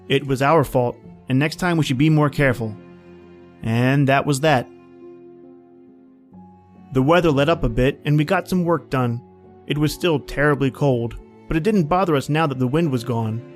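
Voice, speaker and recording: A man's voice: mid-range voice, moderate pace, faint music behind, lightly acted. An actor playing a plain-spoken man at a snowbound outpost, telling his story in a folksy, conversational old-time radio style. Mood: reflective.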